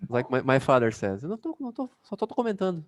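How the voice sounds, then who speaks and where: A man's voice: fairly high pitch, big pitch swings, rapid-fire, no echo. A Brazilian man with a Brazilian Portuguese accent, teaching an English class casually over a video call and chatting with many fillers.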